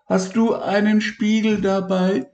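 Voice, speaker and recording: A man's voice: high-pitched, little pitch movement, slow, darkish tone, lo-fi sound, voice pushed up. A weepy, fretful little patchwork elephant, put on by a man reading a storybook, his voice pushed far up above the reader's own. He whimpers and stammers, and the words ring a little in an echoey room. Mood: whimpering.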